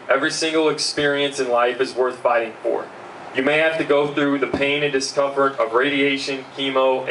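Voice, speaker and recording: A young man, giving an earnest keynote speech from notes at an outdoor benefit, speaking into a handheld mic. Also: medium pitch. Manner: earnest, steady, fillers between points. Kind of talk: reading a prepared speech. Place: outdoor backyard party. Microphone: handheld mic, recorded by far camera. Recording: distant roomy outdoor sound, faint hum.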